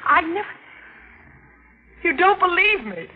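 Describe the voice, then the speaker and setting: A frightened, distraught widow in a vintage radio mystery. Her voice is extremely high and swings widely, trembling with melodrama. The recording is dull and old. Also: big pitch swings, heavily acted. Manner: tremulous, melodramatic, halting. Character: distraught, frightened newly widowed wife under suspicion. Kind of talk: 1940s radio mystery acting.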